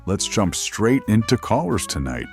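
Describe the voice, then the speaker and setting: A man playing a droll, macabre late-night radio horror host, theatrical and sardonic with deadpan humour. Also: fairly low pitch, moderate pace. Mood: menacing, courtly. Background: faint music.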